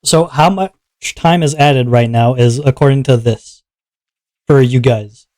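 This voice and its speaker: A man's voice: measured pace, no echo. A man streaming live, explaining his event's rules to chat in a casual, upbeat way and checking in with viewers often. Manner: relaxed lower voice after a viewer redeem.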